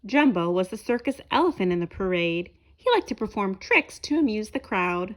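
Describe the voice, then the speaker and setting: A woman reading a children's picture book aloud, with expressive, deliberate phrasing aimed at young listeners. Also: medium pitch. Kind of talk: children's read-aloud narration.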